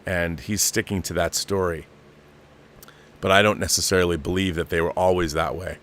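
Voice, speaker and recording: Low-voiced, emphatic male talk show host. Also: steady tone, normal speed, fairly bright tone. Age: middle-aged or older. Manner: emphatic, repeats phrases for stress. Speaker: host of a live commentary show. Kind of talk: opinionated casual film commentary answering viewer messages.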